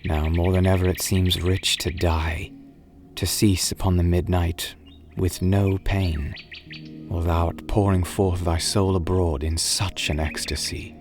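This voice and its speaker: A man with a low, deep voice reads romantic poetry aloud. His delivery is very unhurried, solemn and wistful, with drawn-out, dramatic phrasing. Soft music and birdsong play behind the reading. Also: steady tone. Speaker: poetry reader and narrator.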